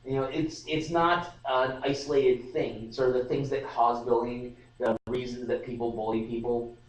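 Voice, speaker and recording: A man's voice: mid-range voice, fairly even intonation, moderate pace, lo-fi sound. Young man rambling in a roomy room. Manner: rambling, talks in restarts and fillers. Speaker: podcast host. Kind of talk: casual discussion. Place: room at a student society meeting. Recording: roomy live stream audio, distant mic.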